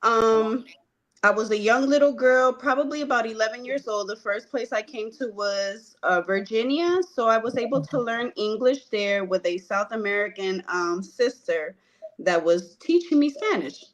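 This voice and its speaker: A woman from Puerto Rico telling her life story in a conversation, earnest and hesitant, with frequent pauses. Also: fairly high pitch, highs cut off. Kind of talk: personal testimony in conversation.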